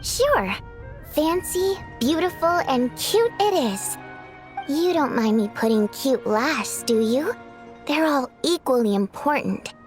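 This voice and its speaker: A woman's voice: sits high, expressive, slow pace. A woman playing a capable, matter-of-fact young station manager in a video game, composed and assured. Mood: breezy, assured. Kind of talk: animated video game voice acting. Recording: faint music behind the voice.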